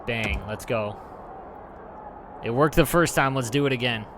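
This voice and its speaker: A man's voice: medium pitch, a little slow, background noise. A man hosting a gaming video, giving casual, explanatory gameplay commentary in an informal way. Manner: informal, reads game text aloud.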